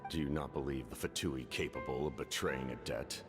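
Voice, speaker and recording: An actor playing an imperious, guarded male warrior in a video game, speaking in measured, formal phrases with a deep, gravelly voice. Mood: stern, probing. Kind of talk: dramatic video game voice acting. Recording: long echoing reverb under game music.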